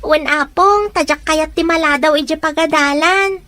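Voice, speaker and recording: An actress playing an insistent, lovestruck young student in a serial audio drama. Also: female voice, sits high, moderate pace, bright, forceful tone. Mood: dutiful. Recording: clearly noisy with clicks.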